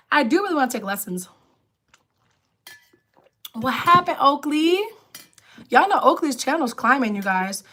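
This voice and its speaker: Raspy-voiced woman chatting on a livestream. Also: pushed and bright. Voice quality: lower alto voice. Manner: chatty, reads and answers comments aloud. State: raspy voice from illness. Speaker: livestream host. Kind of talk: casual livestream chat with viewers.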